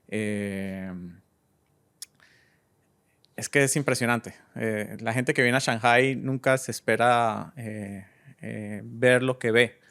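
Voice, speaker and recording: A Colombian man from Cúcuta in his thirties, answering interview questions in an easygoing, hesitant way with many pauses. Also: medium pitch, fairly even intonation, creaky, fairly bright tone, smooth. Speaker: guest DJ and entrepreneur.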